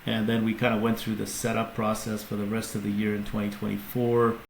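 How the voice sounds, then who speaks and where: A man with a low, gravelly voice explains his company as a podcast guest, stopping and restarting his sentences. The room is echoey, with faint ambient noise behind him. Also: monotone, fairly quick. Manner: explanatory, many restarts and fillers. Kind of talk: casual interview talk.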